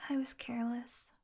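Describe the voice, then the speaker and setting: A woman's voice: fairly high pitch, husky, heavily acted. A woman playing a possessive fantasy healer in an audio roleplay, teasing and condescending, coaxing the listener with sweet menace and a domineering streak.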